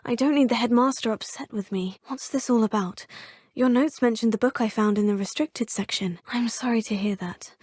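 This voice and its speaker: A woman voicing a polite, plucky teenage witch student with a breathy voice, recorded close on a studio mic. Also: a little high, normal speed, darkish tone, dry recording. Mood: muttering. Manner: polite, proper phrasing. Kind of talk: game voice clips.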